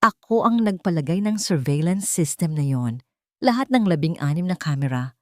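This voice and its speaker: A woman voicing a controlled, calculating wealthy father in an audio drama, measured, terse and unyielding. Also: on the low side, expressive, mellow, dry recording, studio quality, natural voice. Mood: firm, unflinching.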